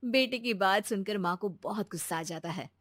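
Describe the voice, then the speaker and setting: A woman's voice: medium pitch, moderate pace, a bit bright, no echo, clean studio sound. A woman narrating a scripted moral bedtime story as a storyteller.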